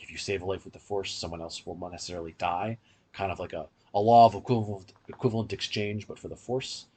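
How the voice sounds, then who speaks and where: A man's voice: deep voice, darkish tone, a little hiss or hum. A man hosting a review podcast, explaining things in a casual, conversational way. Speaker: podcast host reviewing audio dramas.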